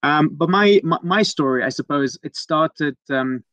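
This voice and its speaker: A man's voice: on the high side, unhurried, fairly bright tone, no echo. Hesitant young man from the Netherlands. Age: young adult. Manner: hesitant, many restarts and ums. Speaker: guest, conservation program manager. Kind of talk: casual storytelling interview.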